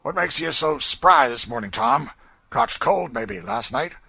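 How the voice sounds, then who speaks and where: Here is a cruel, mocking master, as a male reader puts him on, loud and cutting, with a voice pushed higher than the reader's own. He taunts and threatens with open scorn in a coarse drawl. The tone stays bright and forceful throughout. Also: moderate pace, creaky, breathy edge, dry recording, heavily acted. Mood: taunting. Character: brutal, sneering male slave master.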